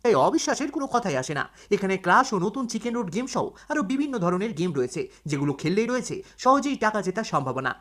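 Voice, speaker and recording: Brisk, animated female ad promoter. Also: medium pitch. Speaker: app promoter. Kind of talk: scripted advertisement read.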